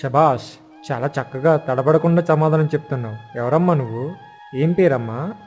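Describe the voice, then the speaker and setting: A man voicing a kindly, encouraging village schoolteacher with a teacherly manner in an animated moral story. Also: on the high side, moderate pace, faint music behind, close to an everyday voice. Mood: approving.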